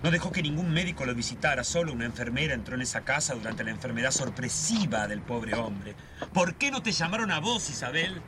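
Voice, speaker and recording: A man's voice: mid-range voice, lively intonation, brisk, background noise, natural voice. An actor playing an agitated, suspicious man who sees conspiracies in his neighborhood, in a radio drama. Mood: conspiratorial.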